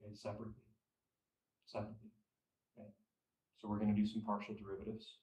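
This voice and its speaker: A young man teaching statistics at a classroom whiteboard, losing his voice and clearing his throat, repeating phrases and checking understanding. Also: on the low side, completely flat delivery, fast, darkish tone. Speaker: statistics instructor. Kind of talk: classroom lecture. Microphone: far camera. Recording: echoey room, from far off.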